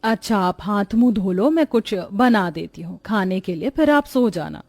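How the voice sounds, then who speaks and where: Casting: female performer as gentle, anxious village mum for a cartoon, dry studio sound. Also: mid-range voice, expressive, normal speed, no room sound. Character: gentle, worrying mother in a poor village. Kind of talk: Hindi cartoon dialogue.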